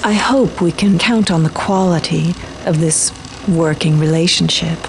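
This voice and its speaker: Grave, low-voiced mysterious ally. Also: female voice, measured pace, a little hiss or hum. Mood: cool, serious. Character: grave, composed woman, mysterious informed ally of a thief. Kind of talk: video game cutscene acting.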